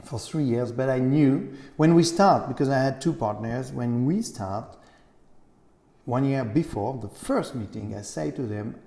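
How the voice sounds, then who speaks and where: A middle-aged man with a French accent chatting as an interview guest, speaking non-native English haltingly and searching for words. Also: medium pitch, creaky, mellow. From Grenoble, France. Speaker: interview guest, cheese shop owner. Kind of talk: casual conversational interview. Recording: echoey room with long reverb.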